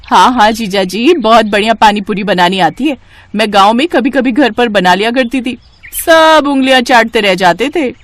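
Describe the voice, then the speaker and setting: A woman's voice: on the high side, normal speed, no room sound, close to an everyday voice. A woman voicing a bold, ambitious young sister-in-law turned street vendor in a cartoon, with a coaxing manner. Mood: confident.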